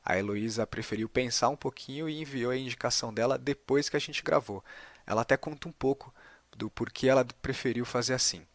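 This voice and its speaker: A man's voice: mid-range voice, a little fast, dry recording. A Brazilian man hosting a podcast in a casual, conversational way. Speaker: podcast host.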